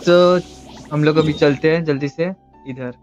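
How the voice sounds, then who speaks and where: A man's voice: a little high, steady tone, very fast, darkish tone. A man with an Indian accent giving casual live commentary as a game streamer. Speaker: game livestreamer. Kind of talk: casual live commentary, Hindi mixed with English. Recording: dull lo-fi stream mic, faint music.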